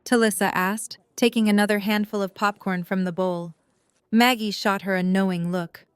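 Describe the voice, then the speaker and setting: A smooth, clear woman's voice narrates a story from a script. The pitch is middling and the recording is close and dry. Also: fairly even intonation, moderate pace, dry recording.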